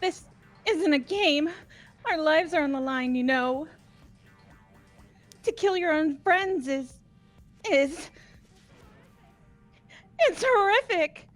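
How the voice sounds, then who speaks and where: A woman voicing a timid, gentle, earnest youngster in a fan-voiced game, with hesitant, stammering, meek speech. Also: high voice, lively intonation, takes it slowly, pauses often, soft background music. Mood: distressed, pleading. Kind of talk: amateur voiced video game playthrough.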